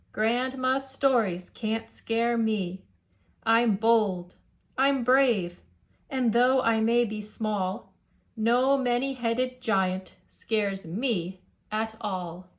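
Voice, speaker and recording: A woman's voice: on the high side, extremely slow, fairly bright tone. A woman voicing a bold, carefree small boy in a singsong storybook read-aloud. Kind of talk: singsong picture-book character voice.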